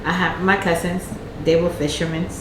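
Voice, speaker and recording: Middle-aged puerto rican woman, accent, lowish voice, short soft answers, echoey kitchen with music. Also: little pitch movement, normal speed, background noise. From Puerto Rico. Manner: brief, soft-spoken answers. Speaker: guest, vlogger's mother. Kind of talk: casual chat. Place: home kitchen.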